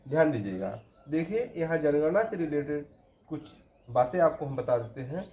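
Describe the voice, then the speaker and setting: Male teacher, a bit high-pitched, drills quiz answers by repeating them; roomy, muffled recording. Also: a little mellow, slight background noise. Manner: repeats each question and answer for emphasis. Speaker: current affairs teacher. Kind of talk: exam-prep quiz lesson.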